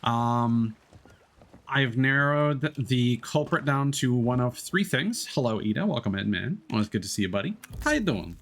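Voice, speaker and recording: A man's voice: mid-range voice, animated, normal speed, fairly bright tone. Rambling young man chatting on stream. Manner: rambling, scatterbrained, many fillers and restarts; casual, swears freely. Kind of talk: casual live-stream chat.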